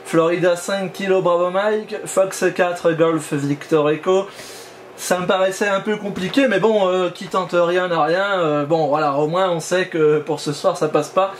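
A man's voice: high-pitched, steady tone, a little slow. A man operating amateur radio, talking in a casual, informal way during a contact. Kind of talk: casual ham radio exchange. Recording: clear background noise.

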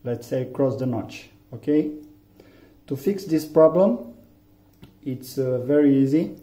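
A man from Romania with a Romanian accent, English not his first language, giving a halting phone tutorial with many fillers. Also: mid-range voice, expressive, dark tone. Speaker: tech tutorial maker. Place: room indoors, phone on a table. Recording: echoey, dull lo-fi room.

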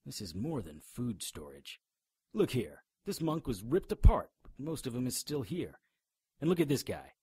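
An actor playing a hard-boiled, sardonic hero in a radio drama, with a wry, grimly observant manner. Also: male voice, mid-range voice, sing-song, moderate pace, dark tone, husky, no room sound, clean studio sound.

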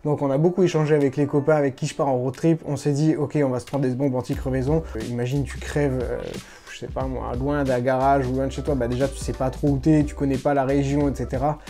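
A man's voice: medium pitch, fast, soft background music. A man in his thirties vlogging to the camera from a room at home, talking casually and slangily with lots of fillers. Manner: chatty, informal, slangy and animated, lots of fillers. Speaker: motorcycle vlogger.

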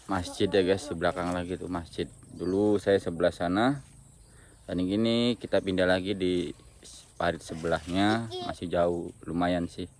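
A man's voice: sits low, a little mellow. A man narrating his fishing trip by a ditch in a casual, chatty vlog voice, often addressing viewers as guys. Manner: chatty, keeps saying "guys", murmurs while fishing. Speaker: fishing vlogger. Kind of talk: casual outdoor vlog commentary. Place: outdoors by a small ditch in plantation.

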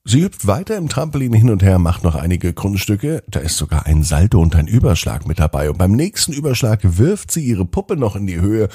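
A man's voice: lowish voice, sing-song, brisk, hardly any pauses, warm tone, slightly rough, no echo, studio quality. A man hosting a children's podcast and narrating a scripted bedtime story, speaking expressively and directly to young children.

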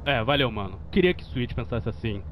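A man's voice: mid-range voice, animated, a little fast, a bit bright, natural voice. A Brazilian man voicing a young, straight-talking street gangster in a crime game fan dub, casual and slangy. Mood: resigned. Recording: noisy game audio with car sounds.

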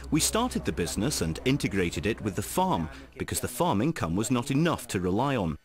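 A man reading a translated voice-over in an even, measured way. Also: on the low side, crowd noise. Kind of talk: dubbed voice-over.

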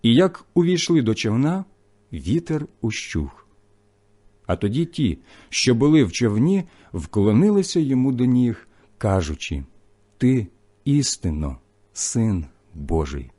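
A man reading scripture aloud as solemn scripted narration, in a measured, clearly phrased way. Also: mid-range voice, sing-song, mellow. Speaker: scripture reader.

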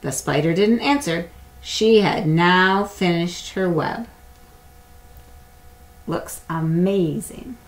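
A middle-aged woman reading a picture book aloud to young children in a simple, deliberate storytelling way. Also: on the low side. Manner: simple, deliberate storytelling with acted animal sounds. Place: small indoor room at home or classroom.

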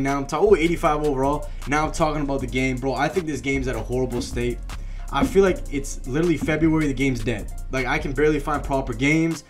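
A young man in his late teens rambling casually over a pack-opening reaction. He uses lots of slang and fillers, and his voice is wearing thin. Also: medium pitch. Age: young adult. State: losing his voice. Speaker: YouTube gaming creator.

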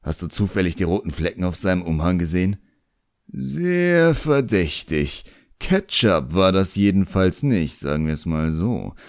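A low-pitched old imp servant, performed by a male audiobook reader, recorded close and dry. He is cheeky and put-upon, grumbling in slang and forever stalling. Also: big pitch swings, a little slow, no echo, exaggerated voice. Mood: evasive, wry.

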